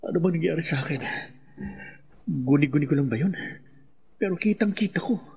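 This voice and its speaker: A man's voice: a little high, animated, fairly quick, gravelly, a little mellow, husky, highs cut off, exaggerated voice. An actor playing a frightened, guilt-ridden man in a Filipino radio drama. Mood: shaken, doubting.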